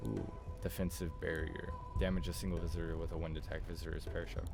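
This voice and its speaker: A man doing casual let's play commentary, reading visual novel text aloud and thinking out loud. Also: low voice, flat delivery, quick pace, creaky, music in the background. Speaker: video game streamer.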